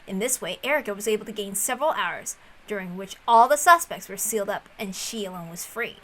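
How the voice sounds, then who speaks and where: A woman reading a visual novel aloud as narrator, expressive and informal in style. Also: mid-range voice, a little fast, poor audio.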